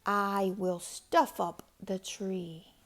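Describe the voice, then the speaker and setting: A woman voicing a sly, sneaky male creature scheming in a storybook read-aloud, with a drawn-out, falsely sweet manner. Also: mid-range voice, level tone, fairly bright tone, pitched below the performer's own voice. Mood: sly gloating.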